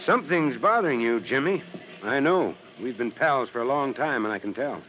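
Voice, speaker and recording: An actor playing a kindly, steady American fire chief in old-time radio drama, with a reassuring, folksy authority. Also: male voice, mid-range voice, normal speed, soft background music, close to an everyday voice. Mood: concerned, coaxing. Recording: muffled, hissy old radio recording.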